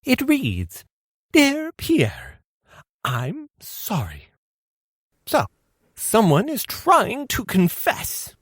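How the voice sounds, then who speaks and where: A woman's voice: mid-range voice, wildly swooping pitch, drawn-out words, pauses often, slightly rough, exaggerated voice. A woman playing a fussy, melodramatic male gourmet who presides as judge in an amateur radio play. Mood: indignant. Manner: melodramatic, indignant.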